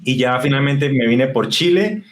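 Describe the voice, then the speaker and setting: A man's voice: medium pitch, moderate pace. Chatty man. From Colombia, raised in northern Spain. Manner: relaxed, talkative, with frequent fillers and restarts. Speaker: guest, marketing specialist. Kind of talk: conversational podcast interview.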